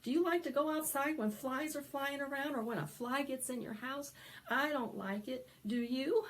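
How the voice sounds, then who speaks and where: A woman presenting storytime for young children, singing and reading aloud in an animated, sing-song way that coaxes them to join in. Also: high-pitched, moderate pace, slight background noise. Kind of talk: interactive preschool storytime, singing and read-aloud.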